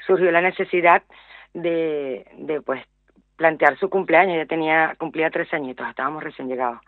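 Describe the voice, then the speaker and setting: Venezuelan lady on the phone, middle-aged, halting speech, repeats words, tinny dry sound. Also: sits low, no echo. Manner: hesitant, many fillers and repeated words. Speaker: guest, small business owner. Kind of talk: radio interview.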